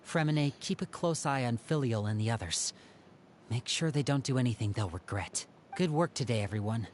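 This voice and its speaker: An actor playing a calm young man who leads his siblings and gives measured orders. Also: medium pitch, a little hiss or hum, close to an everyday voice. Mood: directing. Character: young man, calm strategist of an orphan house.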